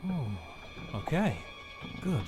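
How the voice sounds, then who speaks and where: A man playing a frightened, anxious sailor in an audio drama, halting and urgent. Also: fairly high pitch, very animated, a little mellow, soft background music. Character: frightened male sailor, pleading, anxious survivor.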